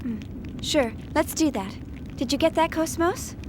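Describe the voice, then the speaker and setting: A young female engineer from a video game, with a high voice and a gentle, caring way of talking. She sounds conversational, with clear noise behind her. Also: measured pace. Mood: businesslike.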